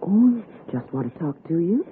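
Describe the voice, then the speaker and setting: An actress playing a scheming, cajoling city wife in a theatrical old radio suspense style. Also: female voice, fairly low pitch, big pitch swings, normal speed, phone-quality sound. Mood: coy coaxing. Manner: coaxing, theatrical. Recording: thin, noisy 1960s radio recording.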